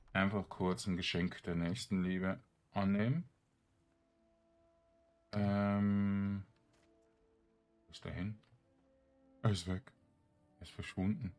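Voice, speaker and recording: A man giving casual, halting game commentary, rambling as he thinks aloud. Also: low-pitched, a little mellow. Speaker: let's player. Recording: game music behind the voice. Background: video game music.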